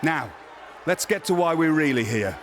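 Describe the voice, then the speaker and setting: A man's voice: on the high side, animated, normal speed, some chatter behind, slight background noise, lightly acted. A man playing a pompous, theatrical wrestling general manager in a video game cutscene, speaking in formal, grandiose phrases. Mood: pompous, officious.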